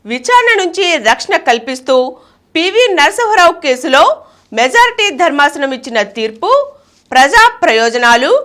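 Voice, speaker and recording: A woman's voice: high-pitched, pushed and bright. A woman reading a scripted news bulletin in a formal, emphatic way. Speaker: news reader.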